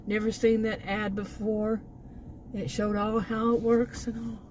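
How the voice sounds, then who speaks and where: A woman's voice: a little high, little pitch movement, a little slow, darkish tone, a little hiss or hum, poor audio. An American woman vlogging in a casual, rambling rant with an exasperated manner. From Texas, United States. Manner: exasperated, chuckles between remarks. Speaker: food delivery gig worker, vlogger.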